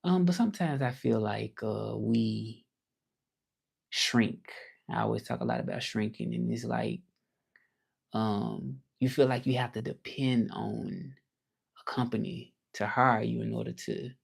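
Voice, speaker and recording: A man's voice: mid-range voice, unhurried, creaky, husky. A man from Memphis with a Southern accent, talking casually and reflectively on a livestream, rambling with many fillers. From Memphis, Tennessee. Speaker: livestream host.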